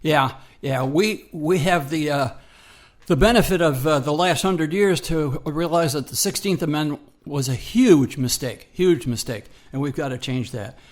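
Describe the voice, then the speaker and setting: A man with a fairly high voice co-hosts a radio show. He comments conversationally and presses his points, often repeating a phrase for emphasis. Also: a little slow.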